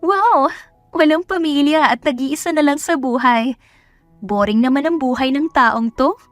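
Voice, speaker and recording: A woman's voice: sits high, measured pace, no echo, faint music behind, voice pushed up. A woman voicing a bold, stressed young businesswoman in an audio drama, speaking emphatically and mixing English into Tagalog. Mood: tipsy, curious.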